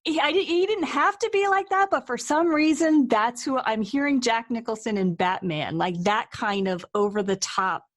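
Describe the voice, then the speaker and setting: A woman's voice: on the high side, normal speed, hardly any pauses. A woman hosting a casual interview, chatty and thinking aloud as she goes. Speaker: interview host and author.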